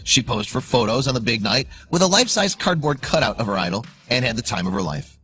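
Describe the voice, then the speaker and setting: A man's voice: mid-range voice, very animated, fast talker, bright, forceful tone. A man narrating a scripted dramatic story in a suspenseful, emphatic way. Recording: music under the voice. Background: background music.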